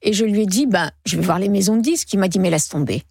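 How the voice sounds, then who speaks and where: Woman in her 60s, radio guest, lively storytelling, dry close mic. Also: mid-range voice, fast, vocal fry, husky, no room sound. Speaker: guest singer. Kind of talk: casual radio interview. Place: radio studio. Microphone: studio microphone.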